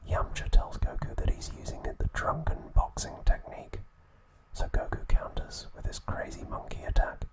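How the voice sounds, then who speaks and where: A man whispering a scripted recap as an ASMR host, in an unvoiced, breathy whisper with slow, measured phrasing.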